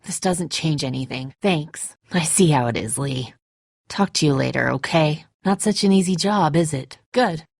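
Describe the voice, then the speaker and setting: A woman's voice: on the low side, creaky, no room sound, clean studio sound. A woman playing a tough, bitter, defensive apocalypse survivor in a dramatic video game, speaking in a terse, confrontational way. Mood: cold, resentful.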